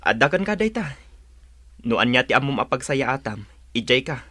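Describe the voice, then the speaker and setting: A man's voice: mid-range voice, sing-song, moderate pace, sharp tone, no echo. A man playing an earnest, exasperated young husband torn between wife and mother in a radio drama. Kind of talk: serial radio drama acting in Ilocano.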